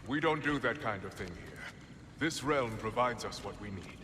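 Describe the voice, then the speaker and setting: A man playing a low-voiced, pragmatic clan leader. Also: animated, noisy background, lightly acted. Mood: firm. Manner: plain-spoken, measured, diplomatic. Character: steady, pragmatic male leader of a fantasy people. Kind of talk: fantasy video game drama.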